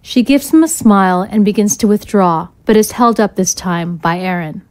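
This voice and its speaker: A female narrator with a mid-pitched voice reads scripted stage directions. She delivers short screenplay-style descriptions between the dialogue. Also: moderate pace, darkish tone, poor audio.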